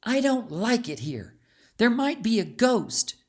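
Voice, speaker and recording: A man's voice: sits high, sing-song, slow pace, pitched above the performer's own voice, full character voice. A man voicing a timid, anxious young girl who tends to complain, in an audiobook. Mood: uneasy. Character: timid young girl, nervous and easily bothered. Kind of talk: audiobook character voice.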